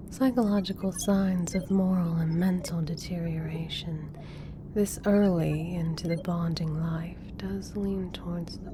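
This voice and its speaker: Composed female doctor voiced by an actress: slow, lowish, formal and clinical, audio roleplay with clear background noise. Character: intelligent, composed female government scientist doctor. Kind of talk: ASMR roleplay drama.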